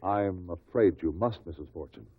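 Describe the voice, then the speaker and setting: Shrewd inquest questioner, low husky voice, formal, insistent, vintage radio drama. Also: male voice, moderate pace, dry recording. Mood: probing, firm. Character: shrewd male amicus curiae questioning witnesses at an inquest. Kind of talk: 1950s radio drama acting. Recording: muffled old radio recording.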